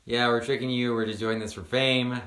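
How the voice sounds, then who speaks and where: A man's voice: medium pitch, flat delivery, brisk, fairly bright tone, echoey room. A man in his twenties, a spiritual teacher guesting on a podcast, talking earnestly with many fillers and repeated words. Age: 20s. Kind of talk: casual podcast chat.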